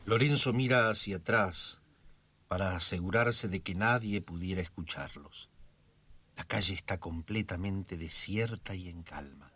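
A man giving calm, scripted narration in a radio drama. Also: low voice, unhurried, slight background noise.

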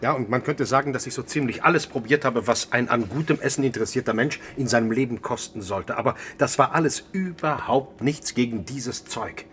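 An actor playing an earnest, food-obsessed chef, insistent and somewhat hesitant, in a German radio drama. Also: male voice, medium pitch, fairly quick, few pauses, a bit bright, natural voice. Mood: earnest, fervent. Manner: earnest, insistent, repeats himself. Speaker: chef character and narrator.

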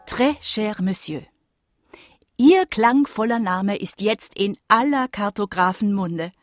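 A woman's voice: medium pitch, expressive, takes it slowly, soft background music. A woman playing a learned French botanist of the eighteenth century, reading her formal, courteous letters in a radio play.

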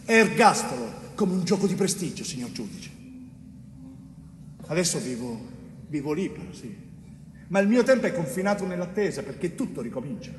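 Un attore che interpreta in un monologo teatrale un giovane muratore siciliano angosciato, ingiustamente accusato, con frasi spezzate e declamate. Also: male voice, fairly high pitch, sing-song. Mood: indignant, bitter, then subdued, bitter. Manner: declamatory, halting phrases with emphatic repetition. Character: anguished young Sicilian bricklayer wrongly accused of murder. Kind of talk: dramatic theatre monologue. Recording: echoey theatre hall, distant mic, faint noise.

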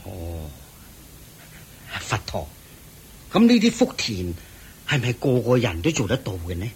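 An actor playing a devout lay host who eagerly and respectfully serves the Buddha, in a radio play. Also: male voice, lowish voice, huge pitch swings, a little slow, breathy edge, exaggerated voice. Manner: respectful, eager, fussing politely. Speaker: householder hosting the Buddha. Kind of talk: Buddhist radio drama acting.

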